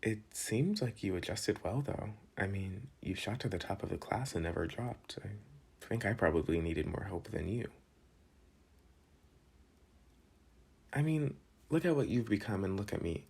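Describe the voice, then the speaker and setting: School bad boy played by a young man: deep-leaning raspy voice, slangy, protective, teasing, close ASMR boyfriend roleplay. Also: expressive, moderate pace, heavily acted. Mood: earnest. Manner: casual slangy teasing with soft sighs. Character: protective teenage school delinquent, gruff but soft-hearted, teasing.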